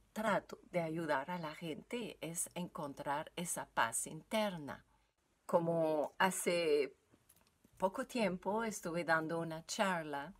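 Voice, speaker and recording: French Canadian woman, 50s to 60s, French her first language; lower voice, unhurried and halting, seminar-style talk to camera. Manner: slow, hesitant, many filler sounds. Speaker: yoga teacher giving a seminar. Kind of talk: recorded solo talk to viewers. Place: furnished basement room.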